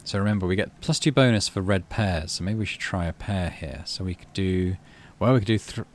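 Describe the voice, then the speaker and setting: A man's voice: deep voice, brisk, slight background noise. A man casually commentating on a game, thinking aloud in murmured asides and reading story text out. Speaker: gaming channel host.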